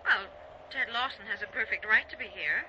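An actress playing an estranged wife in a radio play, with a dramatic delivery. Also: female voice, medium pitch, brisk, natural voice. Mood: mocking. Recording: dull lo-fi radio recording, background hiss.

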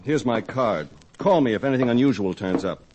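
An actor playing a laconic, dry private detective in a 1940s radio play. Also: male voice, mid-range voice, lively intonation, a little fast, long reverb tail, noisy background, natural voice.

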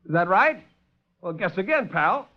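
A man's voice: fairly high pitch, fairly even intonation, brisk, voice pushed up, close to an everyday voice. A man playing a tough-talking, wisecracking lieutenant in a 1940s radio drama. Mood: defiant. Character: brash, defiant male military lieutenant hero. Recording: dull old radio broadcast.

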